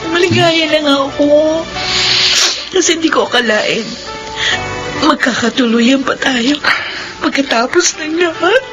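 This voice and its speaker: A woman's voice: high voice, measured pace, pauses often, music in the background, poor audio. A woman playing a tearful but happy young woman in love in a Filipino radio drama, crying as she speaks. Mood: tearfully happy.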